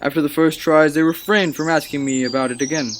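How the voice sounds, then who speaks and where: A man's voice: fairly high pitch, steady tone, fast, faint music behind, close to an everyday voice. A man playing a haunted, evasive scientist in a dramatic old-time radio drama style. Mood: grave, haunted. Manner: dramatic, theatrical. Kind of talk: old-time radio drama acting, first-person narration.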